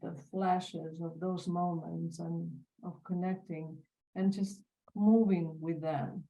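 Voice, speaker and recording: Soft, warm-voiced woman on a panel. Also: lowish voice, flat delivery, slow, muffled recording. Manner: thoughtful, searching for words, repeats words. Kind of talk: reflective panel talk.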